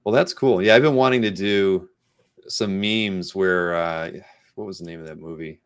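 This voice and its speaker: A man's voice: low voice, steady tone, moderate pace, clear, resonant tone. A man hosting a casual interview in a rambling, conversational way. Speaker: host of a comic art live show.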